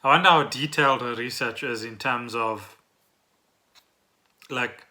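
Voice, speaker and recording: South african guy on a podcast, really sharp bright voice, casual questioning chat. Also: medium pitch, a little slow. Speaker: podcast co-host.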